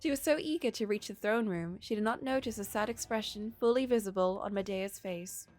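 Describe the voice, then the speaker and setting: A woman with a mid-pitched voice reads a story aloud as a streamer. Her narration is expressive, and music plays faintly behind her. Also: a little fast.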